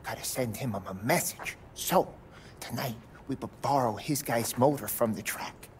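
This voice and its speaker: A man's voice: medium pitch, moderate pace, close to an everyday voice. A nervous mob mechanic acted by a man who stutters heavily on his words. He is eager and helpful, speaking in a video game scene with faint noise behind him. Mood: anxious, eager. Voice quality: heavy stutter. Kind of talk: cinematic video game dialogue.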